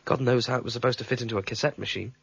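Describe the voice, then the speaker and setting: An actor playing a curious, stubborn citizen with a British accent in a 1970s radio drama, dry and dismissive. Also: male voice, mid-range voice, steady tone, racing, gravelly, lightly acted. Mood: musing. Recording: dull radio recording, faint music.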